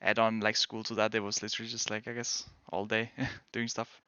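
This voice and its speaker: Young danish guy on stream, kinda low voice, rambles and hedges a lot, dry muffled mic. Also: monotone, a little fast, sharp tone, clear, resonant tone, dry recording. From Denmark. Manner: rambling, hedging, self-correcting. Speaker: game streamer and pro player. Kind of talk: casual chat answering viewers.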